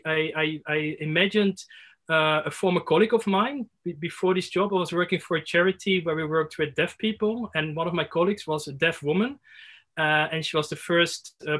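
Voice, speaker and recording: A man's voice: fairly high pitch, fairly bright tone. A man in his thirties sharing a reflection at an online workshop, hesitant with many fillers and restarts, speaking over a laptop video call from a room at home. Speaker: workshop participant. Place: home room with window blinds.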